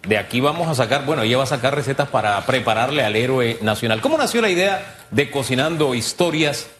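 A man's voice: medium pitch, expressive, fast, a bit bright, a little hiss or hum. A man hosting a casual TV interview, asking questions in a friendly, conversational way. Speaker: TV host.

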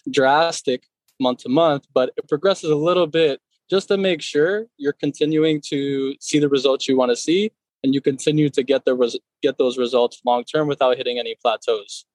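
A man's voice: medium pitch, normal speed, no echo, low-quality recording. Man in his thirties, from Connecticut, easygoing guest, mid-range voice, dry close recording. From Connecticut, USA. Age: 30s. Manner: relaxed, many fillers. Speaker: personal trainer, guest. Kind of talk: casual interview.

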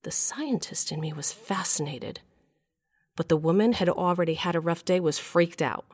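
Low-voiced, wry middle-aged mother. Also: moderate pace, darkish tone, husky. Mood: shaken, confiding. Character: wry, shaken middle-aged mother and scientist, just left by husband. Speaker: narrator and lead character. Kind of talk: first-person audio drama narration and dialogue.

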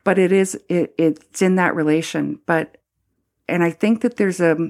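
A middle-aged woman from Canada speaks in a low, slightly gravelly voice. She talks reflectively and haltingly, circling back with fillers and restarts as she works through her thoughts. It sounds like a thoughtful interview conversation. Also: darkish tone. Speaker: former Anglican priest, guest.

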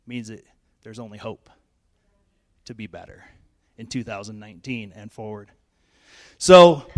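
A middle-aged male pastor, hoarse and losing his voice, giving a chatty, self-joking sermon in church on a handheld mic. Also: medium pitch. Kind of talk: informal sermon. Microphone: handheld microphone.

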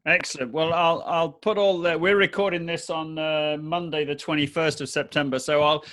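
A man's voice: a little high, steady tone, normal speed, a bit bright. A man hosting a podcast, chatting in a friendly way with frequent restarts. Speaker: podcast host. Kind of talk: casual podcast chat.

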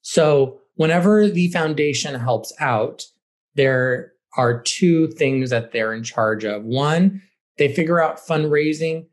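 A man, a theater director, giving conversational explanatory answers as an interview guest. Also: mid-range voice. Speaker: high school theater director, podcast guest.

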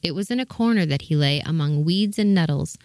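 Woman narrator, lowish pitch, measured storybook reading, expressive, dry close recording. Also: dry recording.

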